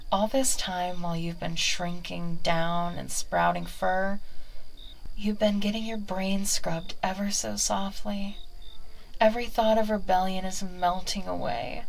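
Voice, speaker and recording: A woman voicing a doting, manipulative witch who coaxes her new familiar in soft, sweetly condescending tones, often dropping to a whisper. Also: medium pitch, gravelly, a little hiss or hum, poor audio. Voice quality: frequent whispers. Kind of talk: whispered audio roleplay.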